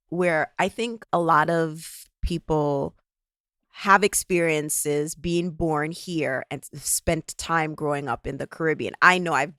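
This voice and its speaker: A woman hosts a podcast in a chatty way, thinking aloud as she goes. Her voice is low-pitched, and the recording is dry and close. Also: fairly bright tone, no echo. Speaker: podcast host. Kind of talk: podcast interview.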